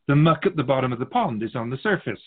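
A man plays a wise otherworldly guardian of souls in a radio drama, patient and reassuring. The voice is husky and swings widely in pitch, with a measured, philosophical delivery. The recording is a little dull and narrow. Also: expressive. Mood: grave, explanatory. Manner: measured, philosophical, musing, addressing humanity as an outsider.

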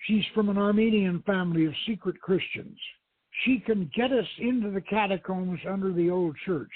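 A man voicing a brisk, cautious treasure hunter with a terse, commanding manner. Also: high-pitched, slightly rough, pitched above the performer's own voice. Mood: brisk, wary. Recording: tinny band-limited radio stream, roomy echo.

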